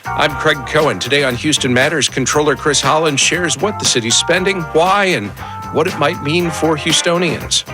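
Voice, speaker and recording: A man's voice: fairly high pitch, moderate pace. A man with an American accent hosting a radio show, reading a scripted introduction. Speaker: talk show host. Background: music bed with guitar.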